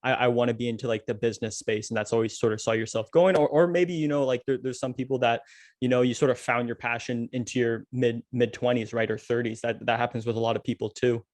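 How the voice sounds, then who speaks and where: A man's voice: mid-range voice, fairly even intonation, fast, dry recording. A man hosting a business podcast, asking long rambling questions with repeated words and fillers. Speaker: podcast host.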